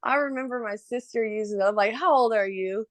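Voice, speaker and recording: A woman's voice: on the high side, fairly quick, dry recording. A woman in her thirties, a podcast guest chatting casually and laughing often as she tells stories. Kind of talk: casual chat.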